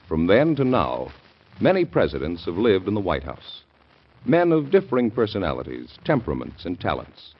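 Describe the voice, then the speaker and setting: A man with a low, husky voice announces a vintage radio program. He speaks emphatically, and his pitch swings widely. The recording is dull and lo-fi.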